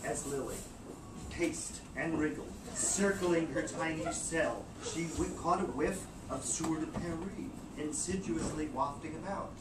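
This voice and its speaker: A man's voice: on the high side, a little slow. A man reading a novel aloud as narrator, with arch, florid, theatrical delivery. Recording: noisy, echoey room from far off.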